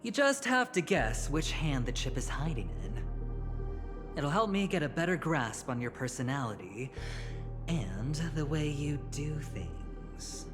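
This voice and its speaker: An actor playing a suave, scheming young gambler in a video game, with a smug, teasing, theatrical delivery full of deliberate pauses. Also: male voice, a little high, very animated. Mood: playful, teasing. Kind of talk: video game dramatic dialogue. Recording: long reverb, music behind voice. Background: clear background music.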